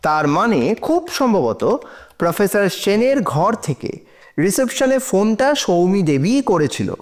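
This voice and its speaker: A man playing a shrewd, composed defense lawyer in an audio drama, questioning deliberately with pauses for effect. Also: high-pitched, big pitch swings. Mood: probing, composed. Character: shrewd male defense lawyer cross-examining in court, probing, composed. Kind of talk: audio drama courtroom acting.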